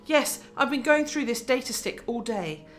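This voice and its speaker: A woman's voice: fairly high pitch, lively intonation, moderate pace, faint music behind, natural voice. A woman playing a determined, earnest news reporter in a radio drama, with emphatic delivery.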